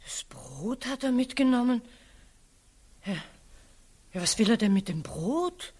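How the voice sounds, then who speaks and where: A woman's voice: on the high side, very animated, a little slow, a little mellow, breathy edge, full character voice. An actress playing a frightened, flustered woman of a colonel's household in a 1940s German radio drama, exclaiming plaintively. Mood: dismayed.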